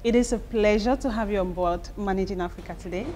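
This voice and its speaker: A woman's voice: medium pitch, quick pace, darkish tone, slight background noise. A woman presenting a television programme in a formal announcer manner. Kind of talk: scripted introduction and interviewing.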